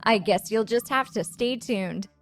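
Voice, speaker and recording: A woman's voice: fairly high pitch, measured pace, faint music behind. A woman playing an arch, playful narrator in scripted sci-fi comedy.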